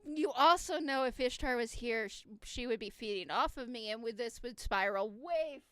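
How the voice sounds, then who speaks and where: A woman's voice: fairly high pitch, animated, moderate pace, sharp tone, clean tone, no room sound. A woman co-hosting a live stream, teasing and giggling through playful banter. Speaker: stream co-host.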